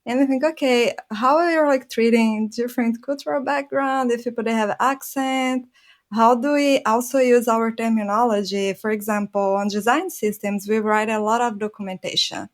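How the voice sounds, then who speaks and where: A woman's voice: a little high, fairly even intonation, normal speed. Brazilian-accented woman, earnest podcast guest. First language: Portuguese. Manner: earnest, laughs often while explaining. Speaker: product designer guest. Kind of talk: conversational podcast interview.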